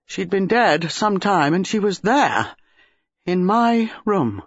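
Shaken young husband, acted by an audiobook reader: sullen, blurting, fierce, husky, wide swings in intonation. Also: mid-range voice, very animated, slow pace, no echo, lo-fi sound, pitched above the performer's own voice, heavily acted.

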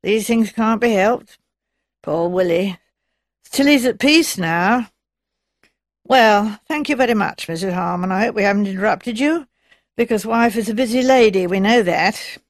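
A woman's voice: mid-range voice, a little slow, no room sound, studio quality, close to an everyday voice. A woman voicing a stout, jovial, pushy middle-aged man in an audiobook, hearty and colloquial. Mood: consoling, brisk. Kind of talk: audiobook character voice.

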